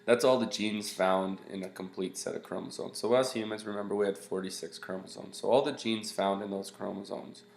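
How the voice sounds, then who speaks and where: A man with a congested, nasal voice talks through a recorded science lesson in a loose, rambling way, full of fillers and restarts. His pitch sits low with a gravelly edge, and the sound bounces around an echoey room. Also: steady tone, brisk, hardly any pauses, slight background noise. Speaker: science teacher.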